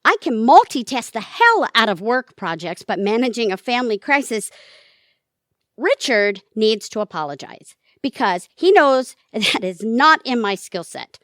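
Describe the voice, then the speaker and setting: A woman acting in an audio drama as a bossy, scornful middle-aged wife and mother with a sharp tongue. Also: on the high side, very animated, measured pace, dry recording, studio quality. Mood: rattled, pleading.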